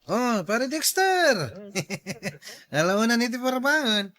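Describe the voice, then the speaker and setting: A man's voice: very high-pitched, very animated, slow pace, pushed and bright, exaggerated voice. A man playing a rowdy, teasing drunken drinking buddy in a radio drama, with an Ilocano accent.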